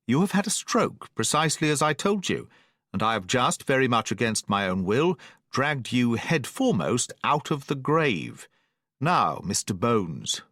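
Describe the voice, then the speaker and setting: A man voicing a stern, educated middle-aged doctor who speaks in a brisk, severe and precise way. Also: mid-range voice, big pitch swings, a bit bright, no echo, studio quality, exaggerated voice. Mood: scolding.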